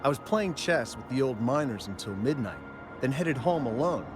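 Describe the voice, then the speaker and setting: A man's voice: mid-range voice, animated, lightly acted. An actor playing a nervous, talkative young male miner recounting what he saw. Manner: talkative, jumpy, slows down when describing monsters. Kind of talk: game cutscene dialogue. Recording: game music underneath. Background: music.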